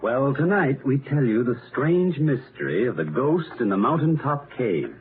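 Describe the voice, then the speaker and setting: A gentleman sleuth from a 1930s radio mystery, playful and polished. His delivery is highly animated, in a narrow, muffled sound with clear background hiss. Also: normal speed. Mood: genial, intriguing. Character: suave, playful gentleman master detective. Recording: noisy, band-limited 1930s radio recording.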